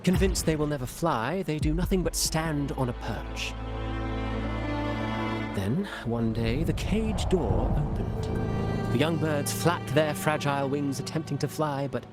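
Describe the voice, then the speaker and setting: A man's voice: fairly high pitch, measured pace, close to an everyday voice. A man playing a courteous, enigmatic traveling playwright in a video game, polished and theatrical, with an insinuating way of talking. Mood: musing, theatrical. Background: loud background music.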